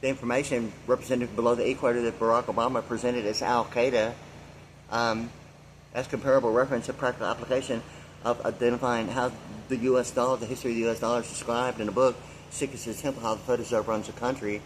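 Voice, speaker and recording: A man's voice: medium pitch, level tone, fast talker, slight background noise, rough recording. A man in his sixties delivering a meandering, free-associating monologue full of fillers and loose asides. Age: 60s. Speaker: vlogger. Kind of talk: rambling monologue.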